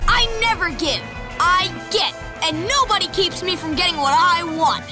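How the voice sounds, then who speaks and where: A woman's voice: extremely high pitch, normal speed. A spoiled bratty rich girl. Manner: whiny, entitled, shouting tantrums. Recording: cartoon clip with loud music.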